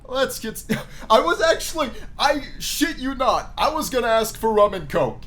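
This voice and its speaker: A man's voice: extremely high pitch, very animated, moderate pace, fairly bright tone. A man giving jokey playthrough commentary as he reads a visual novel aloud, often repeating phrases for emphasis. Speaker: gaming video host.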